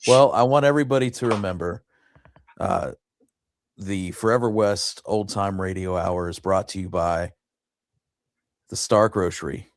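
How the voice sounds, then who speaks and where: A man's voice: deep voice, measured pace, pauses often. A man co-hosting a podcast, chatting casually in an easygoing, laid-back way. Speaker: podcast co-host.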